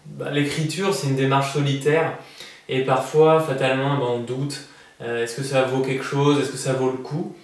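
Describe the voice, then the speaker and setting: A man in his thirties, an author from France, answering interview questions in a relaxed, hesitant way with many fillers and restarts. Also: mid-range voice. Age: 30s. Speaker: prize-winning author interviewed. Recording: echoey room, voice from far off the mic.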